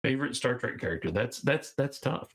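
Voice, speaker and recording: A man's voice: mid-range voice, animated, brisk, fairly bright tone. A man of fifty from Georgia, chatting casually as an interview guest, rambling with fillers and restarts. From Georgia, USA. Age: 50s. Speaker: guest game designer.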